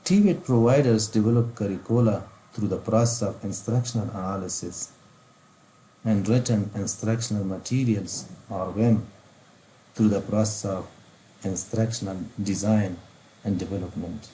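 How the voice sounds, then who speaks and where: A middle-aged man with an Afghan accent reads out a formal training lecture in a deliberate, phrase-by-phrase way. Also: on the low side, dark tone, rough recording. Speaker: vocational training specialist. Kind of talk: scripted lecture narration over slides. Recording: faint background noise.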